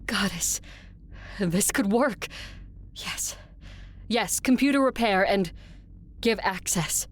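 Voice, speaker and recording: A woman's voice: medium pitch, slow, pauses often. A woman playing an adult female conqueror who narrates her quest in a first-person audio drama. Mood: grave. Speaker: narrator and lead character.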